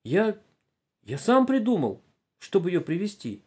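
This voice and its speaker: A man voicing a flustered, guilty schoolboy in a story, halting and stammering. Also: high-pitched, very animated, pitched above the performer's own voice.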